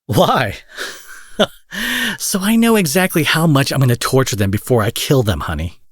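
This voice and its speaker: A rough-edged, husky male supervillain, acted by a man for an intimate audio roleplay, recorded close and dry. He is menacing yet fiercely protective, emphatic and sweary, with a coaxing, tender streak. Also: medium pitch, huge pitch swings, normal speed, no room sound. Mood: angry, indignant.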